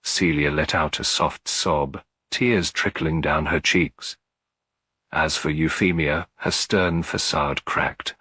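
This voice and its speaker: A man narrating a classic detective story in a measured, dramatic manner. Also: low voice, a bit bright, husky, no room sound. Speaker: audiobook narrator.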